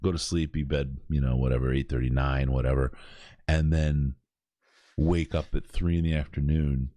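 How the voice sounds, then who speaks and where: A man's voice: a bass voice, steady tone, moderate pace, mellow, dry recording. A middle-aged man from Michigan with a Michigan accent, hosting a podcast in casual, rambling chat with lots of restarts. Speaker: podcast host. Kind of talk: casual charity stream chat.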